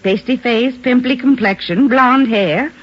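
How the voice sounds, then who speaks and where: A woman's voice: on the high side, a little slow, close to an everyday voice. A woman playing a local resident who answers police questions at her door in an old radio drama. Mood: plain, forthcoming. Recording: dull old radio recording with music.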